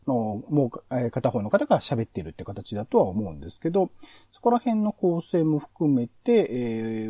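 A man's voice: mid-range voice, dark tone, dry recording. A man hosting a podcast, giving conversational review commentary in an analytical, explanatory way. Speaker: podcast host.

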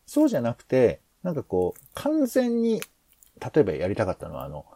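A man's voice: medium pitch, wildly swooping pitch, brisk, warm tone, dry recording. A man hosting a podcast, talking casually through planning ideas in a hesitant, rambling way as he thinks aloud. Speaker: podcast host.

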